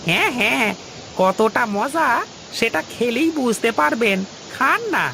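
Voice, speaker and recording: A woman voicing a cheeky, grumbling male household servant in a cartoon, with a creaky voice and a mocking, whiny manner. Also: fairly high pitch, expressive, measured pace, noisy background. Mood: sly. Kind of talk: Bengali cartoon voice acting.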